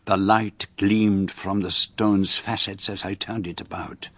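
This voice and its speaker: An actor playing a fastidious gentleman detective with a Belgian French accent, narrating his story formally in a radio drama. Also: lowish voice, flat delivery, slightly rough, dry recording. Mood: hushed, observant. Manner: precise, formal, measured. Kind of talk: scripted first-person narration in radio drama.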